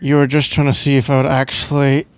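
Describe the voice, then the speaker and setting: A man's voice: medium pitch, completely flat delivery, quick pace, clean tone, no echo. A young Scottish man doing casual boyfriend roleplay ASMR in a playful, teasing, rambling way, close to the microphone. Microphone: close microphone, dry sound.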